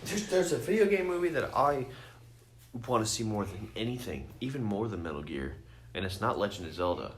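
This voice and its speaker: A man's voice: lowish voice, very animated, brisk, slight background noise. A man co-hosting a podcast, talking casually in a blunt, opinionated, sweary way. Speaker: podcast co-host. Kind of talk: casual chat.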